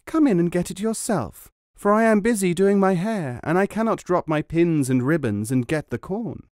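A man reading a story, putting on the high voice of a scheming young wife, coaxing and accusing. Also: lively intonation, moderate pace, a little mellow, no room sound, clean studio sound. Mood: offhand. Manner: coaxing, then wailing accusation.

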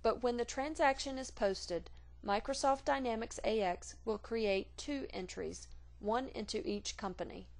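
A woman reading scripted instructional narration for a software tutorial in a measured, formal, neutral manner. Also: a little high.

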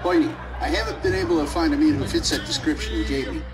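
Male actor as uneasy, watchful surveillance operator, casual, raised pitch, audio drama. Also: fast talker, natural voice. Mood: businesslike. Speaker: security camera operator. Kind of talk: science fiction audio drama acting.

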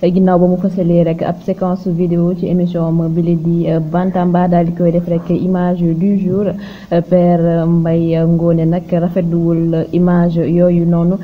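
Female news presenter, Senegalese accent. Lowish voice, hesitant read, noisy recording. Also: monotone, a little slow. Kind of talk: news narration. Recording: dull lo-fi sound with clear noise.

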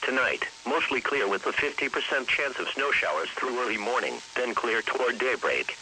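A computer-generated man's voice delivers a formal weather bulletin. The tone is very bright and sharp, at a medium pitch. It comes over a radio broadcast with a faint hiss behind it. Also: expressive, moderate pace, low-quality recording. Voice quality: synthetic text-to-speech voice. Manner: formal, impersonal announcing. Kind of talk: scripted weather bulletin reading.

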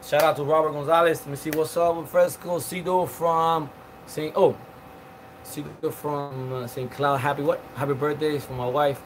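Man in his forties, live-stream host, rambling chat, greets viewers by name, fairly high voice, faint hiss, outdoor patio. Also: little pitch movement, moderate pace. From Cuban. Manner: chatty, rambling, many fillers and restarts, greets viewers by name. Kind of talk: casual live-stream chat reading comments. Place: outdoor patio with palm trees.

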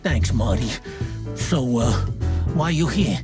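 A woman's voice: a bass voice, animated, unhurried. A woman voicing a feisty, defiant, foul-mouthed raccoon in a film noir game, blunt and scrappy. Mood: calmer, curious. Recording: music behind the voice.

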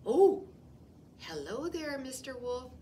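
A nervous little storybook rabbit, acted out by a woman reading aloud, swinging widely in pitch. It stammers and piles on flustered denials. The voice sits a little away from the mic. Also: very animated, takes it slowly, dark tone, exaggerated voice. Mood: flustered bravado. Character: nervous, fibbing little rabbit hiding its kind from wolf. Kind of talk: read-aloud children's picture book. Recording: distant mic, faint room noise.

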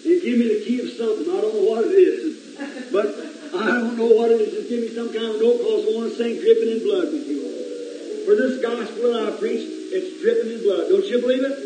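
A hoarse man with a cold, preaching earnestly to his congregation and calling on people by name. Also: sits high, a little fast, over music. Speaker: pastor. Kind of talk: informal sermon talk to a congregation. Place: church sanctuary. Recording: echoey church with loud congregation singing.

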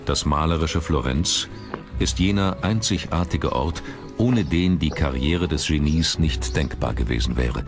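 A man's voice: very deep voice, a little slow. A man reading scripted documentary narration as the narrator. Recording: music under the voice. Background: background music.

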